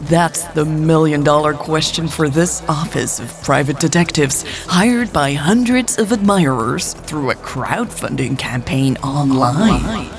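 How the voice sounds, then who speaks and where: A woman's voice: low voice, measured pace, few pauses, soft background music. A woman playing a dramatic investigative news reporter in an audio story.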